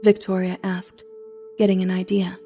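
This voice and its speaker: A woman's voice: on the low side, little pitch movement, moderate pace, dark tone. A woman narrator reading a scripted horror story. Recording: music under the voice.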